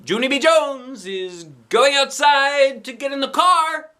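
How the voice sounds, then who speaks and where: A man voicing an excitable, dramatic little kindergarten girl in a children's storybook, gushing and exclaiming. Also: very high voice, slow, pitched above the performer's own voice, full character voice. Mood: joyful, shouting.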